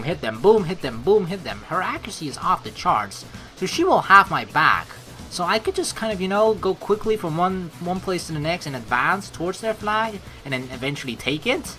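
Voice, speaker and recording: A woman streamer reading a game's narration aloud, mixed with casual, expressive commentary. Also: lowish voice. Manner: expressive, animated asides. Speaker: let's play streamer.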